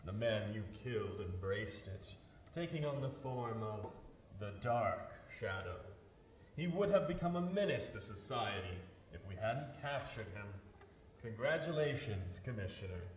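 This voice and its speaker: A man plays a grim, righteous avenger from an old radio serial. He speaks in a measured, ominous way with dramatic swings of pitch, in a roomy, noisy space away from the mic. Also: expressive, recorded from a distance. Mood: grave, commanding. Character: The Shadow, grim righteous invisible crime fighter with mocking laugh. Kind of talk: 1930s radio drama acting.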